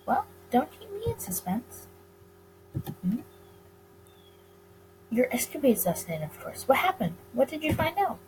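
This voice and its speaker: A woman voicing a nosy, excitable male police boss in a visual novel, prodding and exclaiming. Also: sits high, measured pace, long pauses, heavily acted, poor audio. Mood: eager, curious. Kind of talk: visual novel character reading. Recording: clear background noise.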